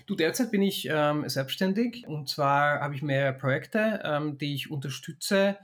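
A man's voice: on the high side, animated, unhurried, a bit bright. A middle-aged man born in Hungary, a consultant and startup founder answering interview questions in a thoughtful, explanatory way, with frequent restarts and fillers.

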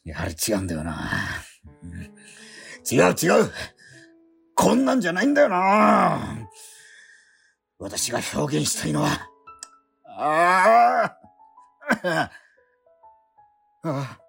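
A man's voice: a little high, wildly swooping pitch, slow, creaky, pauses often, a bit bright, slightly rough, faint music behind, exaggerated voice. An actor playing a poor, struggling male painter in a radio drama, narrating his days and muttering wry, self-mocking asides to himself. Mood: frustrated. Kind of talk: radio drama inner monologue.

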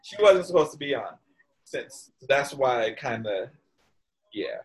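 A man's voice: mid-range voice, lively intonation, unhurried, low-quality recording. Man hosting, loose and teasing. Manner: loose and teasing, asks questions. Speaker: podcast host. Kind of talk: casual chat.

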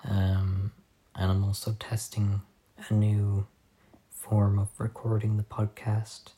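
A hoarse man hosting a casual solo podcast at home, soft-spoken and halting, recorded on a phone voice memo. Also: lowish voice, completely flat delivery. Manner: soft-spoken, halting, drifting off mid-sentence. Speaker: podcast host.

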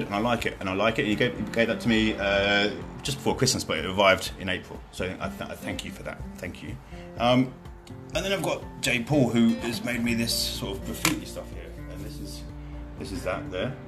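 A man's voice: fairly low pitch, animated, normal speed, music in the background. A British man casually hosting his own podcast, rambling, joking at himself and swearing freely. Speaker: podcast host. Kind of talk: casual solo podcast chat.

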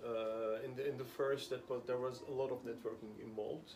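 Gravelly-voiced man from Turkey, hesitant. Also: mid-range voice, steady tone, darkish tone, slight background noise. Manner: hesitant, many "uh" pauses and restarts. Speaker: podcast guest. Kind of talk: casual interview chat.

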